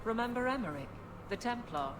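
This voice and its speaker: An actress playing a stern, no-nonsense captain of the guard in a video game, speaking in curt, clipped sentences. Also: female voice, on the high side, animated, a little fast, noisy background, natural voice. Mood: brisk, dismissive. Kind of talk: video game dialogue acting.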